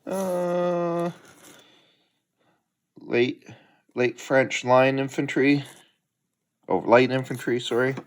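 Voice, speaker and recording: A man's voice: mid-range voice, drawn-out words, pauses often. A middle-aged man hosting a hobby channel, chatting casually and unhurriedly as he unboxes a delivery at home.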